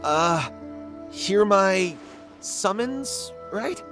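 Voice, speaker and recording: A man's voice: sits high, expressive, very slow, over music, full character voice. An actor playing an anxious, earnest young male writer in a video game, with a hesitant, pleading, dramatic delivery. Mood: unsure, concentrating. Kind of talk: animated video game voice acting.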